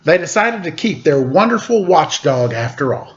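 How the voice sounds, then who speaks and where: A man with a fairly high voice reads a children's story aloud as host. His pitch moves around a lot as he tells it dramatically. The sound is slightly dull and lo-fi. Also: sing-song, moderate pace. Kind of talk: expressive children's picture-book read-aloud with casual asides.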